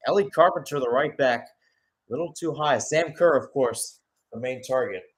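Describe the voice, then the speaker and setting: A man gives live soccer play-by-play in a radio style, with a mid-pitched voice. He narrates in short, clipped phrases as the commentator following the match. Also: moderate pace.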